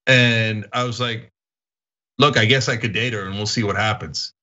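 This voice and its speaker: A man raised in Turkey, hosting a casual podcast and telling stories in an animated way, laughing often. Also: on the low side, normal speed, lo-fi sound. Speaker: podcast host. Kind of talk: casual chat.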